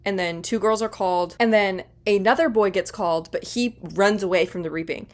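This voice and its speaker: Fast-talking congested young woman. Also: medium pitch, no room sound. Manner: fast, bubbly and rambling. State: congested from a cold. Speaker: book vlogger. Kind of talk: casual chatty book recap. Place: at home in front of a bookshelf.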